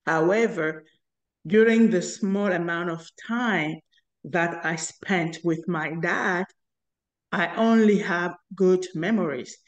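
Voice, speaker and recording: A woman in her fifties from Cameroon, telling her life story as an interview guest in an earnest, halting way with many pauses. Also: fairly low pitch, dull audio. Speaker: guest, inventor and company founder.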